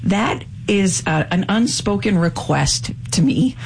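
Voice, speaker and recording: A co-host on a call-in radio show who counsels a caller in a warm, emphatic way. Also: mid-range voice, animated, slow, vocal fry, slight background noise, poor audio. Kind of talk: call-in advice talk.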